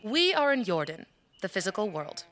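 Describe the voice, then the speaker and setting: A woman playing a patient, scholarly mage in a fantasy audio drama, speaking in a measured, formal, instructive way. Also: medium pitch, sing-song, a bit bright, no room sound. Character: scholarly female mage patiently teaching magic. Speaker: voice actor.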